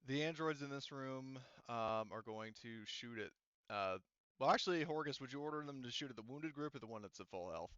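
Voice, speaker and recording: Guy running a ttrpg, crisp bright voice, talks thru the rolls as he goes, very dry muffled-ish audio. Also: mid-range voice, lively intonation, a little fast, no room sound. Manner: thinks aloud while narrating dice results. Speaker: game master. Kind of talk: running an online tabletop roleplaying game, casual.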